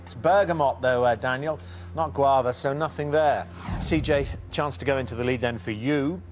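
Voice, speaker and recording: A middle-aged man hosting a TV quiz in a studio, reading questions briskly and teasing the contestants. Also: mid-range voice, a little hiss or hum. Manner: brisk, teasing, reads multiple-choice questions. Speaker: quiz show host.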